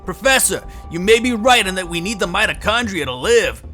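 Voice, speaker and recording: Skeptical cop for a game dub, played by a man: very high for a male, animated, blunt. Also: fairly quick, soft background music. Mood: challenging. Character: blunt, skeptical male police detective partner. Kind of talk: fan dub voice acting of a video game scene.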